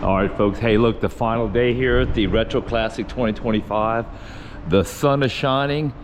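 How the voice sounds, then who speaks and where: Man, hoarse raspy voice, folksy chatty vlog host, mid pitch, noisy background. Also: fairly even intonation, normal speed. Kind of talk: casual walk-around vlog. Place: car show halls and grounds, indoors and outdoors.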